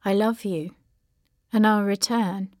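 A woman reading old letters aloud with some narration, in an expressive, dramatic way that lingers on words and drops toward breathiness. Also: mid-range voice, takes it slowly, dry recording, studio quality. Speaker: reader of family letters.

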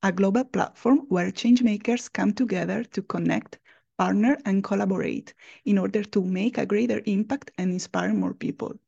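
Husky Italian woman, gravelly and dark. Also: mid-range voice, moderate pace. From Italy. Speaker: platform founder. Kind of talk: self-introduction. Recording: dull, lo-fi audio.

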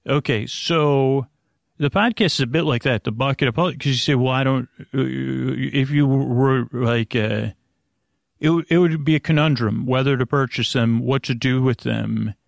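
A man hosting a bedtime podcast, rambling gently and haltingly to the listener, stammering and drifting into tangents in a friendly way. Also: mid-range voice, creaky, dry recording, clean studio sound. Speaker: podcast host. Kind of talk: rambling, meandering bedtime monologue addressed to the listener.

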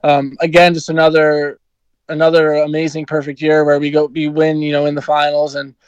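Young American man, hockey player guest, smooth clear voice, even and flat, easygoing with lots of ums, dry close sound. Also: a little high, a little fast, dry recording, muffled recording. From New Jersey, USA. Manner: easygoing, many ums and you-knows. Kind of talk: casual interview answers.

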